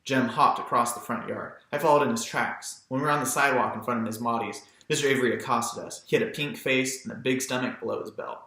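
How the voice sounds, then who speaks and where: Man, audiobook narration, scripted literary reading, medium pitch, bright tone, echoey recording. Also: quick pace, few pauses. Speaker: audiobook reader.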